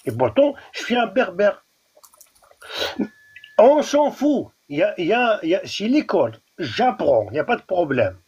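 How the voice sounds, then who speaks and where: A man's voice: high voice, big pitch swings, normal speed, darkish tone, slightly rough, highs cut off. A man from Algeria with a Kabyle accent, whose first language is Kabyle, arguing insistently in a live debate and often restarting his words. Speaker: debate guest.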